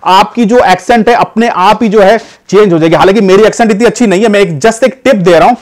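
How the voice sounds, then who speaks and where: High-voiced Indian man vlogging. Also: fast, a little mellow. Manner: chatty, addresses viewers as "guys", many fillers and restarts. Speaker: vlogger giving advice. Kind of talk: casual talk to camera.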